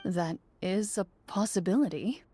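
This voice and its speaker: A woman voicing a composed, literal-minded young female duelist in a video game, formal, measured and deadpan. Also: mid-range voice, a little hiss or hum, lightly acted. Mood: reserved, reflective.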